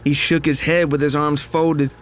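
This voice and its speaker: A man's voice: fairly high pitch, flat delivery, normal speed. A man narrating a scripted first-person horror story in a dramatic, solemn, suspenseful manner.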